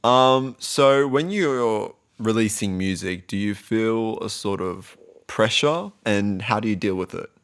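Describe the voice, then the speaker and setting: A man with a fairly low voice hosts a casual music interview. He talks informally, as if chatting with guests. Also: slow, a bit bright. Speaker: podcast host.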